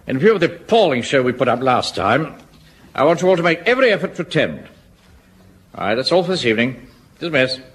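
An actor playing a pompous, self-important middle-aged home guard captain in a radio sitcom. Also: male voice, medium pitch, big pitch swings, quick pace, lightly acted. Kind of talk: 1970s radio sitcom acting. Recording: old radio recording with faint hiss.

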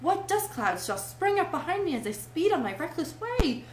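Storybook Toad read by a woman: entranced gentleman toad, high, swooping murmurs of bliss, roomy sound. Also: sing-song, moderate pace, background noise. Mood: dreamy, exalted. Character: dreamy, rapturous male toad obsessed with motor cars.

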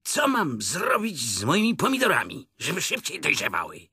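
A man puts on a fussy male rabbit for a children's story. He is bossy yet cautious and tends to mutter. The voice is raspy, with very animated pitch. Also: moderate pace, dry recording, clean studio sound, heavily acted. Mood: hesitant, cautious.

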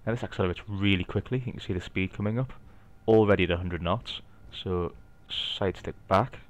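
A man's voice: sits low, a little fast. A man with a Geordie accent casually narrating a flight simulator session, explaining each step and thinking aloud. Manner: relaxed, explanatory, thinking aloud with light asides. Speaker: flight simulator video maker. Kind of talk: casual narrated gameplay walkthrough.

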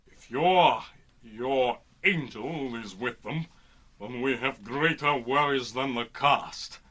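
A man playing a proud, fierce bird-man warrior in a radio serial, speaking formally and theatrically with a raspy voice. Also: mid-range voice, slow, fairly bright tone. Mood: grave alarm. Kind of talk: old-time radio serial acting.

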